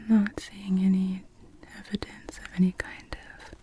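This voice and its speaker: A woman's voice: medium pitch, little pitch movement, rough recording. Whispering woman doing ASMR medical roleplay. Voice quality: soft, breathy whisper. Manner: gentle, unhurried, clinical narration of each step. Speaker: ASMR performer playing an optometrist.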